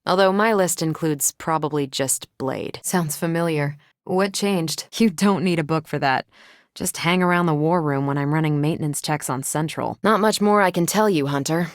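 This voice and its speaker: Actress as female superhero soldier, slightly low voice, dry close recording, wry, self-assured, quippy, casual video game dialogue. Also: normal speed, no room sound, lightly acted. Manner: casual, dryly joking, assured.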